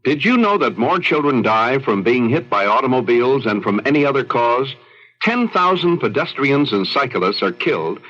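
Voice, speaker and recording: A male announcer delivers a scripted old radio safety spot. His voice is forceful and slightly rough, with animated intonation. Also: medium pitch. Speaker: commercial announcer. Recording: muffled old radio broadcast.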